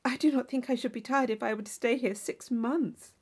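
A woman voicing a naive, eager young country gentlewoman in a classic novel audiobook, earnest and enthusiastic. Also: on the high side, fairly even intonation, a little fast, breathy edge. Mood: keen, sincere.

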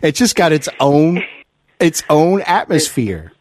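A man hosting a conversational interview, putting his questions in hesitant, drawn-out phrases. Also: on the high side, sing-song. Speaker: podcast host, interviewer.

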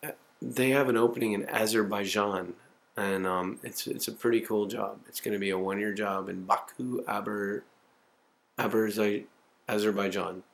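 A man's voice: fairly low pitch, fairly even intonation, normal speed, gravelly. Rambling, profane man in his forties. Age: 40s. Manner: rambling, profane, self-mocking. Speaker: video diarist. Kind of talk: casual self-recorded monologue. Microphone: home camcorder.

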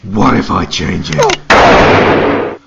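An actor playing a grim, grief-stricken masked vigilante in an audio drama, with a menacing, brooding growl. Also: male voice, huge pitch swings, extremely slow, heavy background noise, heavily acted. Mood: cold rage. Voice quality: deep gravelly growl. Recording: muffled audio under dramatic music.

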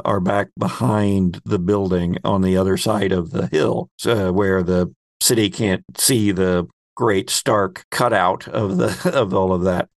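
Man, game master, lowish pitch, slow improvised narration, deliberate pauses, dry sound. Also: gravelly, no room sound. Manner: deliberate, scene-painting, with pauses mid-sentence.

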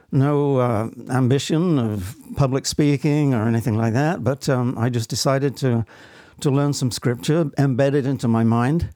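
A man from England with an English accent, giving halting, modest, unscripted answers as an interview guest. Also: mid-range voice, a little mellow, dry recording, clean studio sound. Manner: halting, hesitant, modest with repeated words. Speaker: interview guest, amateur stage actor.

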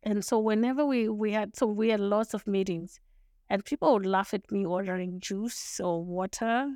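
Zimbabwean lady guest on a podcast, earnest and reflective, shona is her first language, smooth tone. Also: medium pitch, moderate pace, a little mellow, no echo, clean studio sound. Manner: earnest, thoughtful, frequent restarts and small laughs. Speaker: guest, lawyer. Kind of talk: podcast interview, reflective storytelling.

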